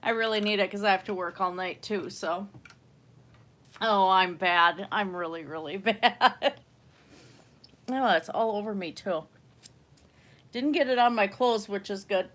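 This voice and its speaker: A young woman speaks slowly into a desk mic at home, her voice stuffy from a slight cold. She rambles in a loose, chatty way as the host of her own livestream. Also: medium pitch, a bit bright. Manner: rambling, chatty, laughs often. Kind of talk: casual livestream chat.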